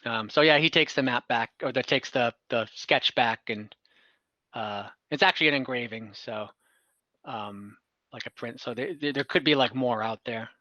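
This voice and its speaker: A man narrating a tabletop game as game master, casually improvising in a halting, informal way. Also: mid-range voice, sharp tone, no echo, highs cut off. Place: online tabletop game session from home.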